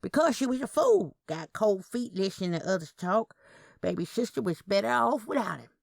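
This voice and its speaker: A woman's voice: mid-range voice, animated, normal speed, vocal fry, dry recording, studio quality, pitched above the performer's own voice. A woman voicing a blunt, scornful old twin aunt in an audio story, speaking emphatically. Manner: emphatic, snorting disdain.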